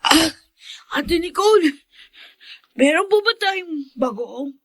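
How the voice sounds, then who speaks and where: High-voiced earnest little boy. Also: lively intonation, takes it slowly, fairly bright tone, slight background noise, exaggerated voice. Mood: earnest, determined. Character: earnest, affectionate young schoolboy son. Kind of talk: radio drama acting.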